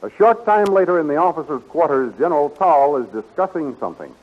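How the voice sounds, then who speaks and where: A man's voice: fairly high pitch, animated, quick pace, lightly acted. A man narrating a 1930s radio adventure serial as a dramatic storyteller. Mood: dramatic, suspenseful. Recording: muffled, hissy 1930s radio recording.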